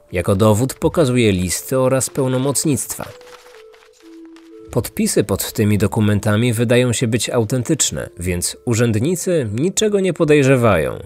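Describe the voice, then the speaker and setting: A man hosting a true-crime podcast, reading a scripted story in a measured storytelling manner. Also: medium pitch, a little mellow. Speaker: podcast host and narrator. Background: music.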